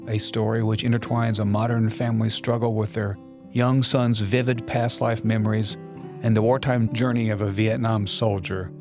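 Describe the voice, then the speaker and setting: A man hosting a podcast, reading scripted announcements and credits. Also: lowish voice. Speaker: podcast host. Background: guitar music.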